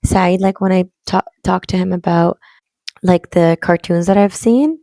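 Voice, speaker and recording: A young woman co-hosting a casual podcast, speaking in an even, low-key, reflective way. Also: unhurried, no echo. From Canada. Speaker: podcast co-host. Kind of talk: casual chat.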